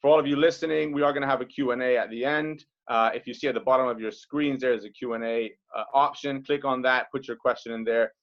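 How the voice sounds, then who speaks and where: A man's voice: medium pitch, steady tone, fast talker, few pauses. A man moderating an online panel discussion, introducing the speakers in a conversational way with frequent fillers. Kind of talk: introducing panelists on a video call. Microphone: video call. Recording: slightly dull video call audio.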